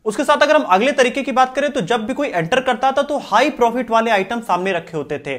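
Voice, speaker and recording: A young man hosting a business explainer, narrating in a steady, scripted way and talking with his hands, recorded on a lapel mic in a studio. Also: very high voice, a little fast.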